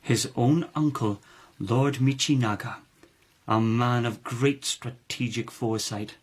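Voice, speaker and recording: An actor playing a calculating, authoritative lord of the palace in a radio drama, speaking in a formal, measured, oratorical way. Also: male voice, medium pitch, steady tone, slightly rough. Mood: persuasive.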